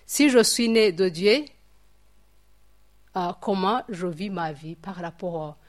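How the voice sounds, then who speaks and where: A woman from Rwanda teaching from the Bible at a study group. Her speech is halting and reflective, full of fillers and repeated words as she searches for the next phrase. Her voice sits at a middle pitch. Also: animated.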